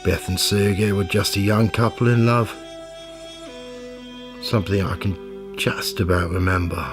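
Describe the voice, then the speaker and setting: A man acting as a weary investigator narrating a radio play. His low, creaky voice moves slowly, wry and reflective, over clearly audible music. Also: steady tone. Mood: reflective, melancholy. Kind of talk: scripted first-person radio drama narration.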